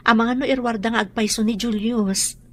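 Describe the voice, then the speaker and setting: A woman's voice: on the high side, fairly even intonation, brisk, fairly bright tone. Earnest, worried Ilocano mother. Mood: anxious. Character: worried, devoted middle-aged mother and dutiful daughter. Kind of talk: Ilocano radio drama acting. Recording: noisy radio drama recording.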